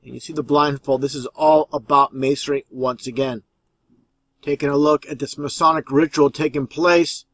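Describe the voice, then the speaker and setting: A man hosts a commentary on video clips in a slightly high-set voice. The sound is dry, with little room to it. He explains insistently and circles back to repeat his points. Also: fairly even intonation, normal speed, fairly bright tone, dry recording.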